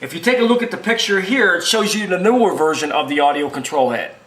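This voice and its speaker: A man with a notably high, sharp-edged voice talks through a repair in an informal tutorial. He is folksy and emphatic, rambling and circling back over his points. The sound is roomy and echoing. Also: expressive, fast. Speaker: video machine repair technician and presenter.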